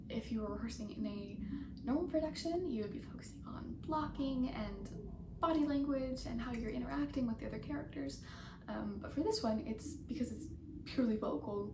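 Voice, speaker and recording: A teenage girl answers interview questions in a casual, explanatory way. Her voice is fairly high. She is recorded away from the mic in an echoey space with clear background noise. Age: teens. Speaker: student actor. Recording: distant, echoey, clearly noisy.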